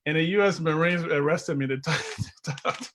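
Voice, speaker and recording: A man's voice: fairly high pitch, fairly quick, a bit bright. A middle-aged man speaking as an interview guest, informal, often restarting and repeating his phrases. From Liberia. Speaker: guest, investment consultant. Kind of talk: casual interview chat.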